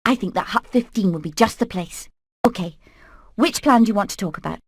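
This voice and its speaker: A woman's voice: medium pitch, brisk, slightly rough, no room sound, clean studio sound. A woman voicing a determined hen leader in a video game, earnest and purposeful. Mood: eager. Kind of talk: animated video game acting.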